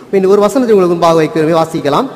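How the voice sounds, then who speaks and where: A middle-aged male pastor with a Tamil Nadu accent, preaching earnestly at a lectern microphone, stressing key words and dropping low to close each point. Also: rapid-fire, darkish tone. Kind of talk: sermon. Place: church sanctuary with congregation. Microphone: stand mic at lectern. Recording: long church reverb.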